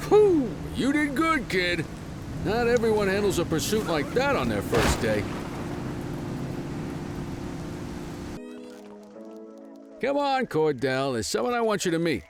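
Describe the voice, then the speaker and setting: A middle-aged American street cop from a video game, easygoing and wisecracking. His folksy, wry delivery sits fairly high for a man and swings widely in pitch. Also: sing-song, normal speed, noisy background. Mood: upbeat. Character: easygoing, wisecracking veteran male street cop mentoring a rookie. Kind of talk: video game cutscene acting.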